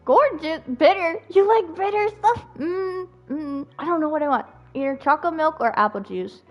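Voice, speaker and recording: A woman's voice: sits high, normal speed. Chatty woman streamer, dull mic. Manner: chatty, reactive. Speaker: game streamer. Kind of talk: casual live gameplay chat. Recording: dull lo-fi mic, game music behind.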